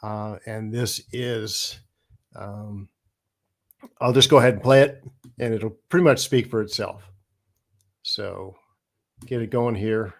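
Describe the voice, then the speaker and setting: Hoarse man hosting with a cold. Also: fairly low pitch. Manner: halting, pauses and restarts with many fillers. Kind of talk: casual spoken commentary.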